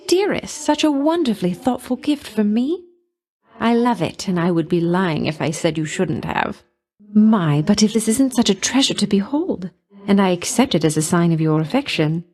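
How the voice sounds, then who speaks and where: A woman performs a flirtatious goddess of love for a video game. She speaks at a medium feminine pitch with a coy, purring manner. Her delivery is grand and coquettish, doting on whoever she addresses. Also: darkish tone, natural voice. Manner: coy, affectionate, purring endearments. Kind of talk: video game character voice lines.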